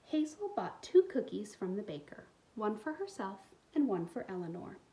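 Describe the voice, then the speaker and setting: A woman reading a picture book aloud to young children in an expressive way, pausing to explain things to them. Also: medium pitch. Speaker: storytime reader for a school community.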